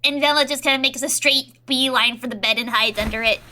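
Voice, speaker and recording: A woman's voice: high-pitched, steady tone, a little fast, heavy background noise. A woman playing a tabletop game, narrating casually as she improvises.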